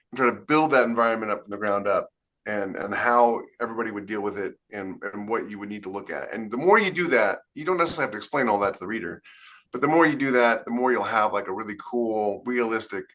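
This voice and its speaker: A man's voice: fairly low pitch, fast talker, a bit bright. A man co-hosting a podcast, giving casual advice in an emphatic, instructive way. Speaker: podcast co-host.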